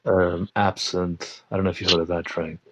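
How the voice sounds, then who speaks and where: A man from Manchester, a guest on a podcast panel, talking in halting bits full of "um" pauses and restarts. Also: sits low, flat delivery, fairly bright tone, dry recording. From Manchester, England. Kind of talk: casual chat.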